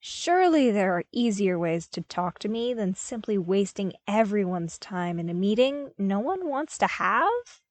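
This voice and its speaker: A woman voicing an earnest, cheeky young male apprentice with an informal, questioning, expressive manner. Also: mid-range voice, a little slow, hardly any pauses, no echo. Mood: puzzled.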